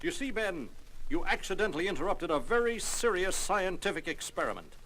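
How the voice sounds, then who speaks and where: Articulate scientist team leader, fairly high voice, animated inflection, superhero audio drama, faint noise. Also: male voice, a little fast, low-quality recording. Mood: smug. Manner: articulate, patronizing, reasonable. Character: calm, lofty male scientist, leader of a hero team. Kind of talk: comic-book audio drama acting.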